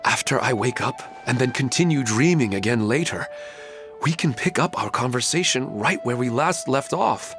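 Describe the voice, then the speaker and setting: A man's voice: mid-range voice, expressive, moderate pace, over music, natural voice. An actor playing a melancholy, humble widowed father in an anime game, speaking in a hesitant, wistful way. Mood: wistful, pained.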